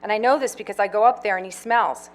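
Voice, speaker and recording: A woman's voice: medium pitch, quick pace, voice pushed up, close to an everyday voice. A woman voicing a stern, bossy older sister who scolds in clipped lines. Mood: scolding.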